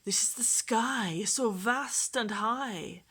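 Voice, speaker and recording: Slow gentle woman reading a picture book aloud. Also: fairly high pitch, breathy edge. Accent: lilting Welsh, put on. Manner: slow, gentle, rhythmic, often softly whispered. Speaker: storytime reader.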